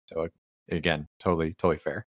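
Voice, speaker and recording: Deep-voiced man hosting a chat. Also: quick pace, dry recording, clean studio sound. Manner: relaxed, rambling, joking. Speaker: podcast host. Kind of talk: casual chat.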